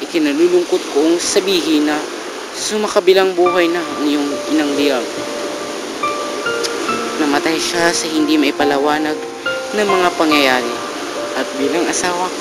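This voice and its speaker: A man's voice: fairly high pitch, steady tone, moderate pace, long pauses, natural voice, poor audio. A man playing a proud father who reads out a grave letter to his son in a formal, solemn way. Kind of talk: dramatic letter reading. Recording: loud music over amateur drama audio.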